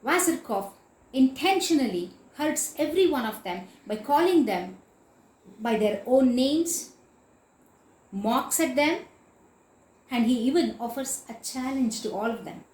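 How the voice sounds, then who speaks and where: A woman's voice: high-pitched, lively intonation, a little slow, pauses often, darkish tone. A woman teacher giving an explanatory literature lecture in a didactic, emphatic way, repeating and spelling out key words. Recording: echoey room.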